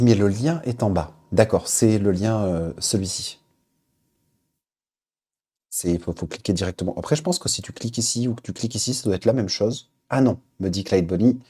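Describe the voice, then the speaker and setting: Male streamer host, low husky dark voice, loose hesitant chat-reading delivery. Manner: reads chat names aloud, many hesitant fillers. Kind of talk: casual live-stream chat.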